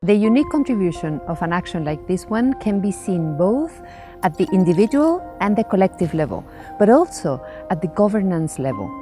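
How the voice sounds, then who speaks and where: A woman's voice: medium pitch, big pitch swings, a little fast, over music. Composed woman in a garden. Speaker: network coordinator. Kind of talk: interview answers.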